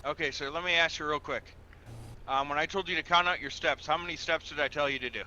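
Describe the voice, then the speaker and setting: A man's voice: fairly high pitch, steady tone, fast, pushed and bright, highs cut off, slight background noise. A man roleplaying a patient, methodical police officer in an online game, giving firm, polite, procedural instructions.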